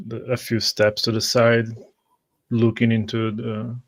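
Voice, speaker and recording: A man's voice: mid-range voice, completely flat delivery, a little slow. A man who is a tabletop game player, hesitantly describing his character's actions through a dull microphone.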